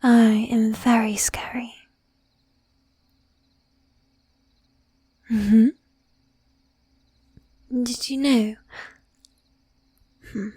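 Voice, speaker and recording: Woman whispering as a breathy, flirtatious lady vampire. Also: a little high, mellow, no room sound, full character voice. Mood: mock offended boasting. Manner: coaxing, affected old-fashioned formality, drawn-out teasing. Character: bossy, flirtatious, predatory lady vampire, sweetly menacing. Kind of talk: whispered ASMR roleplay. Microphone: close ASMR microphone.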